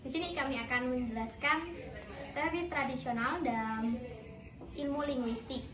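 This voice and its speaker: A young woman from Yogyakarta, presenting a class lesson as a student, reading in a calm, careful way. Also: high voice, normal speed, roomy sound, far from the mic, a crowd in the background. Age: young adult. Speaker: student narrator.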